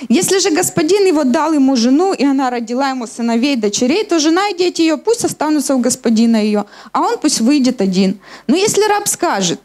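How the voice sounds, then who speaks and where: High-pitched woman preacher. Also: expressive, a little fast, few pauses, darkish tone. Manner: explanatory, addressing listeners with questions. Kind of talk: sermon with scripture reading. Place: church hall stage at a lectern, indoors. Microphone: handheld microphone.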